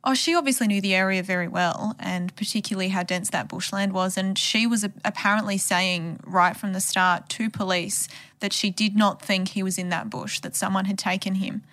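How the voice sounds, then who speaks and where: A woman's voice: medium pitch, fairly even intonation, moderate pace, fairly bright tone, no echo. An Australian woman explaining a case as a journalist in a conversational true crime podcast style.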